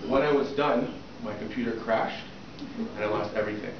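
A man's voice: on the low side, normal speed. Middle-aged male trainer who keeps asking the class questions, recorded from the back of a small classroom, roomy, muffled and noisy. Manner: asks the room frequent questions, checking with "okay?". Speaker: soft skills trainer. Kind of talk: interview-prep workshop talk. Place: small classroom with a projector screen, indoors. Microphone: far camera at the back of the room. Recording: distant, echoey, muffled room recording with noise.

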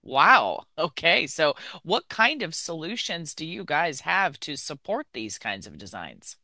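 A woman's voice: low-pitched, big pitch swings, unhurried, sharp tone, dry recording. A woman hosting a tech podcast, upbeat and enthusiastic as she interviews guests and reads news. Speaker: podcast host.

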